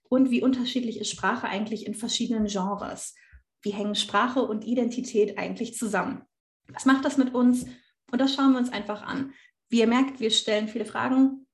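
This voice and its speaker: A woman's voice: a little high, darkish tone, echoey room. A young woman from the Rhineland hosting a student podcast, talking in a thoughtful way with frequent hesitations. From Rhineland, Germany. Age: young adult. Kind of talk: casual academic discussion.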